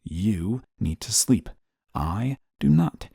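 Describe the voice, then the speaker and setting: A man playing an exasperated, rule-bound but soft-hearted guardian angel in a scripted audio roleplay, scolding in a weary, formal way. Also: on the low side, big pitch swings, slow pace, creaky, breathy edge, no echo, studio quality, exaggerated voice. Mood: flustered, annoyed. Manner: weary, scolding, formal wording, sighs often.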